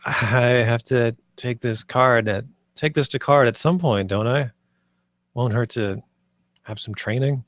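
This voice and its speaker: A man's voice: medium pitch, unhurried, a bit bright, dry recording, studio quality, natural voice. A man playing an earnest, idealistic theme park planner in a screenplay table read, a little hesitant. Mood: nervous. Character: earnest, idealistic male theme park planner named Marty, nervous, visionary.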